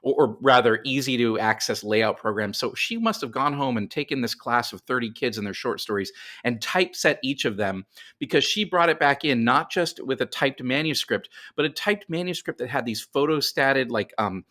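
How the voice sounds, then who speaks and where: A man's voice: mid-range voice, animated, moderate pace, bright, forceful tone. A middle-aged man telling stories casually as a guest in a podcast interview.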